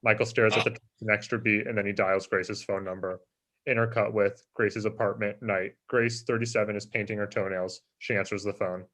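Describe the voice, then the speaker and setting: A man's voice: on the low side, little pitch movement, brisk, a bit bright. A man narrating screenplay stage directions as a scripted reading.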